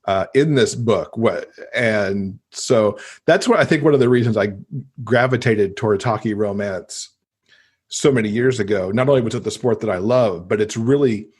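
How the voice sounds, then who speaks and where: A man's voice: fairly low pitch, normal speed, darkish tone, slightly rough. A man speaking as a podcast guest in casual conversation, explaining at length with conversational asides.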